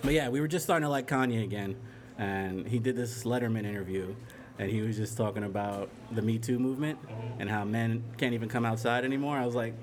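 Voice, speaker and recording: A man's voice: medium pitch, fast talker. A man from the Bronx hosting a live podcast in a bar, talking in an easygoing, laid-back way and laughing often. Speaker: podcast host. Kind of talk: casual live podcast chat. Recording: live bar recording with crowd. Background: crowd chatter.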